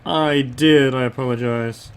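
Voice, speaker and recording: A man from the United States, streaming a game and chatting casually, relaxed and often laughing. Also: medium pitch, a little slow, slight background noise. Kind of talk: casual gameplay stream chat.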